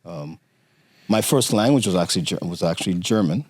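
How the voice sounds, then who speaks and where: A middle-aged man from Romania, a podcast guest telling his family story in a halting way with many fillers and restarts. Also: low-pitched, expressive, a little mellow, slightly rough, no room sound, studio quality. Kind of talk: conversational interview storytelling.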